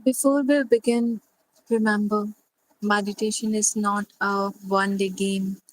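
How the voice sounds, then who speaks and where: A woman guides a sleep meditation at a very slow pace, leaving long pauses between short phrases. Her delivery is gentle and soothing, with very little rise and fall in pitch. The recording is close and dry, with no room sound. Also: fairly even intonation, no room sound, low-quality recording.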